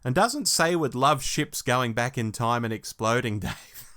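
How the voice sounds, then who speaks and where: A man's voice: medium pitch, expressive, unhurried, pushed and bright. A man co-hosting a casual review podcast, explaining his opinions in a conversational way. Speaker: podcast co-host reviewing a book. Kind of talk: casual discussion.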